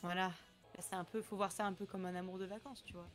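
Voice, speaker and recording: A woman's voice: mid-range voice, steady tone, quick pace, faint music behind, natural voice. A woman improvising as a chatty, reassuring friend in casual roleplay game conversation. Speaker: roleplayer in an online game server.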